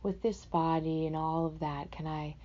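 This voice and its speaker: A woman teaching a guided meditation in a gentle, unhurried, reflective way, speaking off the cuff with pauses between phrases. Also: deep voice, fairly even intonation, lo-fi sound.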